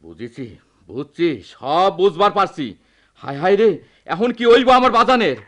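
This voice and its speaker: Fiery, animated old village father. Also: high voice, normal speed. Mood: distraught, furious. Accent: rural Bangladeshi dialect. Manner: emotional, lamenting. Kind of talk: Bengali radio drama acting.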